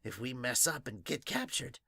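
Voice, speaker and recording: A man voicing a grim, determined revolutionary in a hushed, grave manner for an amateur manga dub. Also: mid-range voice, huge pitch swings, normal speed, vocal fry, slightly rough, no room sound, studio quality, heavily acted. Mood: grim resolve.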